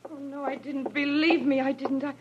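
An actress playing a femme fatale thief under pressure, with emphatic melodramatic delivery in 1940s radio drama style. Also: female voice, sits high, flat delivery, normal speed, lightly acted. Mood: defensive, pleading. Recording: muffled, noisy old radio recording.

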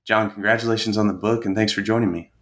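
A man's voice: lowish voice, steady tone, fast talker. A man hosting a history podcast, introducing a guest in a friendly way with a few stumbles. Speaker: podcast host. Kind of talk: podcast interview.

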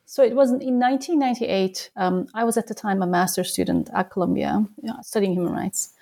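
A woman in her forties from Turkey speaks in a mellow, gravelly voice. She answers interview questions as a human rights lawyer, pausing often and restarting her sentences as she thinks aloud. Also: medium pitch. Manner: thoughtful, many fillers and restarts.

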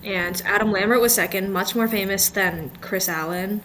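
A woman's voice: mid-range voice, fairly even intonation, normal speed, a bit bright, a little hiss or hum, low-quality recording. A woman in her twenties co-hosting a podcast, chatting casually with many fillers and trailing sentences. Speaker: podcast co-host. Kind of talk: casual chat.

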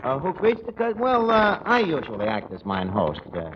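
An actor playing a blustering, uneducated tavern manager with a New York accent and streetwise bad grammar, in 1940s radio comedy style. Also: male voice, fairly high pitch, wildly swooping pitch, normal speed, muffled recording. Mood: pompous. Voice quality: streetwise, ungrammatical diction with malapropisms. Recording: noisy old radio broadcast recording.

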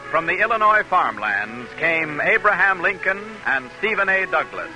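Very bright, high-pitched vintage radio announcer man. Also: low-quality recording. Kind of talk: 1940s radio announcing, scripted narration. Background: music.

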